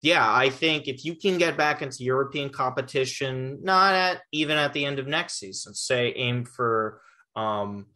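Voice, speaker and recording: A man's voice: mid-range voice, moderate pace, pushed and bright. A man in his twenties hosting a casual sports podcast, talking loosely with frequent restarts. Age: 20s. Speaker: podcast host.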